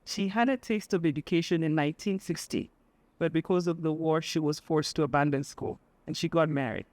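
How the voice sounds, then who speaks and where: A woman from Sudan, giving an earnest, measured speech as a guest speaker, pausing often with fillers. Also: low voice, a little mellow, no echo. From South Sudan. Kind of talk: public speech at an event.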